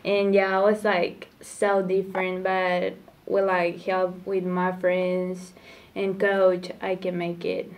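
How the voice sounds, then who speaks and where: A young woman from Chile, her first language Spanish, picks her way through English in short, halting phrases. Her pitch stays flat and even. She sits back from the microphone. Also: far from the mic. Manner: halting, searching for English words. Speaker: soccer player guest. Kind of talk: casual interview chat. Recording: voice from off the mic.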